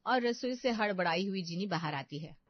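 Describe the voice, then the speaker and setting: A woman's voice: medium pitch, lively intonation, normal speed, no echo, highs cut off. A woman narrating a scripted family story.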